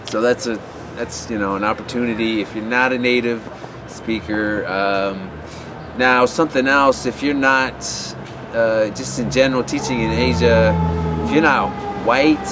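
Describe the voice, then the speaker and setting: A man's voice: fairly low pitch, fairly even intonation, normal speed, over music. A man giving offhand advice about teaching abroad. He rambles and often restarts with fillers. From USA. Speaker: English teaching advice vlogger. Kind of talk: unscripted advice talk to camera. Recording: outdoor street noise.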